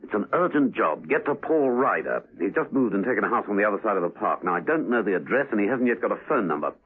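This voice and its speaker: Casting: commanding spy hero for vintage radio drama; fast, urgent, lively delivery, tinny band-limited recording. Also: male voice, lowish voice, breathy edge. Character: brisk, urgent male British secret agent. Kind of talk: 1970s radio drama acting.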